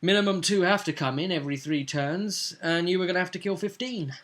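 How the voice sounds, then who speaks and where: A man with a bright, forceful voice pitched a little high. He co-hosts a tabletop role-playing vlog as game master, talking in a playful, theatrical, excitable way. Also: brisk.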